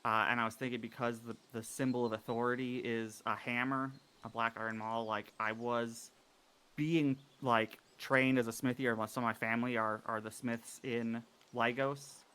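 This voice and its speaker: A man describing his character in a tabletop game session, halting with many fillers and restarts. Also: medium pitch, little pitch movement, pushed and bright, clear, resonant tone. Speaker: player.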